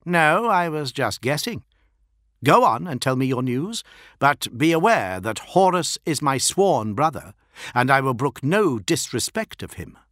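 A man's voice: mid-range voice, big pitch swings, unhurried, fairly bright tone, no echo, studio quality, voice pushed up. A man voicing an arrogant, volatile superhuman warrior lord in an audiobook, with a haughty, commanding delivery. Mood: proud, stern. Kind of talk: dramatic audiobook character voice.

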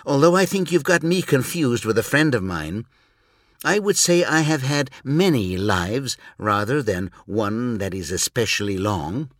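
A man's voice: medium pitch, expressive, a little slow, no echo, studio quality. A man voicing a weary, sardonic, long-lived human in an audiobook, with a bitter, sarcastic edge. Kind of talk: audiobook reading.

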